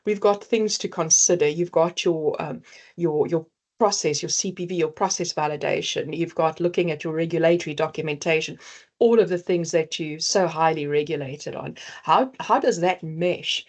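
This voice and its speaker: A South African woman with a low voice presents a webinar to an online audience. She speaks in a halting way, full of ums and restarts, as she leads into her topic. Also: a little mellow. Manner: hesitant, many fillers and restarts. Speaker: founder and CEO, webinar presenter.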